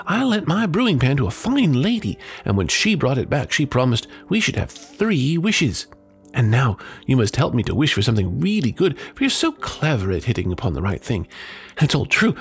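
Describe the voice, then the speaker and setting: A man voicing a flustered, weepy old peasant woman from a storybook, with a husky, creaky voice. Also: a little high, wildly swooping pitch, fairly quick, soft background music, voice pushed up, heavily acted. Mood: excited.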